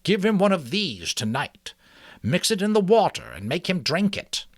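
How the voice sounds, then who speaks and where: Guy putting on an old wise mouse healer for a storybook, pitched up, precise n emphatic, real swoopy. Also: very animated, normal speed, no echo, studio quality, full character voice. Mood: firm, cautioning. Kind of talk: dramatic storybook character reading.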